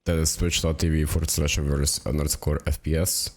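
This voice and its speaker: Young man, very low voice, raspy from a cold, tired, casual rambling tutorial. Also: normal speed. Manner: relaxed and rambling, with many fillers. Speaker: gaming video creator. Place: home apartment.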